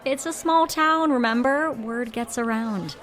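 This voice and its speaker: A woman playing a teasing, quick-witted small-town bartender in an audio drama. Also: fairly high pitch, a bit bright, low-quality recording. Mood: teasing, wry.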